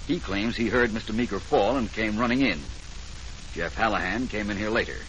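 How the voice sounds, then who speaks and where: Male actor as shrewd private detective, lowish voice, assured, deliberate, commanding, noisy vintage radio mystery. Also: fairly bright tone, natural voice. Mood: assured, probing. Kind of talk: 1940s radio detective drama acting.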